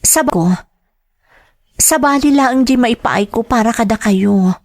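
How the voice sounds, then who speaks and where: A woman's voice: on the high side, a little slow. A woman with a Filipino accent playing a dutiful, earnest grown daughter in a radio drama. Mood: reassuring. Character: dutiful, grieving grown daughter telling her story. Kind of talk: radio drama acting, slow narration.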